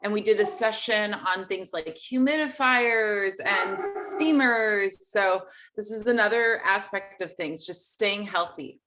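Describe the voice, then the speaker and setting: A woman's voice: medium pitch, measured pace, hardly any pauses, a bit bright, slight background noise, low-quality recording. A woman teaching an online singing workshop, chatting and explaining between video clips. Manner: chatty, explanatory, apologetic asides.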